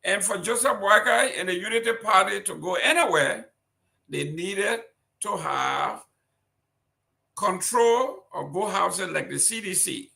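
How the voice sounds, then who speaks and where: Liberian man, talk show commentator, high-pitched voice, bright and sharp tone, emphatic delivery. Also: measured pace, vocal fry. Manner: indignant, emphatic rhetorical questions. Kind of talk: reading news and giving political commentary.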